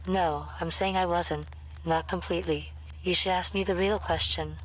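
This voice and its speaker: A woman plays an eerie captive in a horror tale, her voice a little low. She is unsettlingly calm and deliberate, giving cryptic, foreboding answers. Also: natural voice, low-quality recording. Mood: eerie, knowing. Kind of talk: scripted horror acting.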